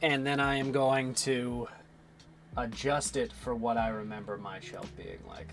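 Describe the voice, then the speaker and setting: A man in his twenties with a slightly raspy voice, rambling casually and swearing as he streams his 3D modeling work and answers chat. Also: medium pitch, steady tone, a little slow. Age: 20s.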